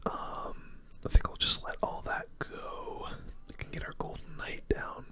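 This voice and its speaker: A video game streamer giving casual, slangy gameplay commentary in a soft, unvoiced ASMR whisper. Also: unhurried.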